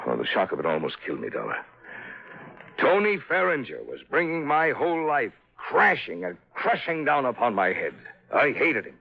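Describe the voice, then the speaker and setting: A man's voice: mid-range voice, wildly swooping pitch, moderate pace, creaky, exaggerated voice. An actor playing a bitter, grieving aging businessman in a 1950s radio drama, with a formal, agitated, melodramatic delivery. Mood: anguished. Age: older. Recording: tinny band-limited old radio recording.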